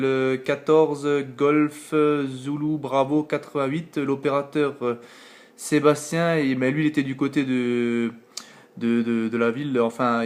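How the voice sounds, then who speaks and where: A man's voice: medium pitch, darkish tone. Chatty man on amateur radio. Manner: chatty, repeats filler tags. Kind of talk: casual radio contact chat.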